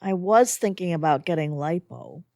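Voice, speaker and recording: A woman's voice: on the low side, normal speed, warm tone, no echo, studio quality, natural voice. An actress playing a bewildered woman who has just died, pleading and defending herself, in a community audio drama. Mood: defensive. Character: newly dead, bewildered middle-aged woman.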